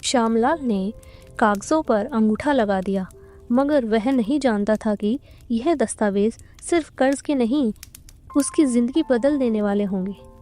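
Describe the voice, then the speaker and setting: A woman narrating a scripted moral story in a measured, dramatic storytelling manner. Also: fairly high pitch, mellow, soft background music.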